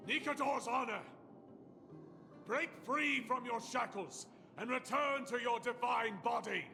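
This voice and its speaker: Very high, creaky, fervent invoker. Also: male voice, unhurried, bright, forceful tone, full character voice. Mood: commanding, fervent. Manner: commanding, incantatory. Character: fervent ritual invoker commanding a god's soul. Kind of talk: video game voice acting. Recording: music behind the voice.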